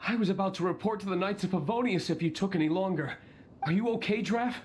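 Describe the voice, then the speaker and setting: A man playing an earnest, excitable young hunter who is loyal to his leader in a video game. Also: high-pitched, quick pace, a little hiss or hum, natural voice. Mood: relieved, anxious.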